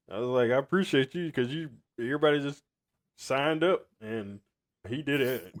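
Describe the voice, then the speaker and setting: Laid-back podcast host. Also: male voice, mid-range voice. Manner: laid-back, laughs often, says y'all. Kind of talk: casual interview chat.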